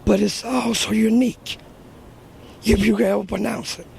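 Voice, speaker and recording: A man answering street interview questions, slow and halting as he works through a hard word. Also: high-pitched, steady tone, creaky, darkish tone, a little hiss or hum.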